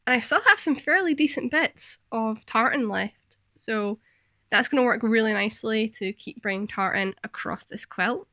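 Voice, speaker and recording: A woman's voice: fairly high pitch, normal speed, bright, forceful tone. Scottish woman hosting a craft vlog. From Scotland. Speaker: sewing vlog host. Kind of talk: casual craft vlog chat and narration.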